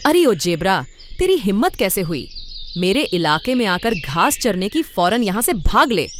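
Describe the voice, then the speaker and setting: Casting: vain bully of a goat played by a woman, loud scolding cartoon delivery, faint birds behind. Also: mid-range voice, sing-song, measured pace, a little hiss or hum. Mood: angry, boastful. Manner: haughty, scolding.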